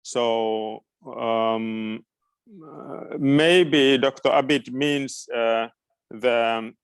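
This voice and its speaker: A middle-aged native Finnish man speaks at a slow pace with a smooth, clear voice in a dry recording. He answers informally as an education expert, often pausing to search for words. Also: mid-range voice, steady tone, dry recording. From Finland. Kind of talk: informal question-and-answer talk.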